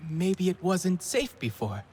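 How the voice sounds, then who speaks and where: An actress playing an eager, anxious young alien woman in a video game, with a husky voice. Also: lowish voice, sing-song, slow pace, a little mellow, a little hiss or hum. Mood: uncertain. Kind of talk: video game cutscene acting.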